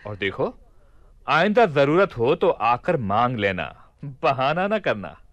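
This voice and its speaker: An actor playing a grand, amused and indulgent Caliph in a radio play. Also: male voice, mid-range voice, big pitch swings, takes it slowly, fairly bright tone, no room sound.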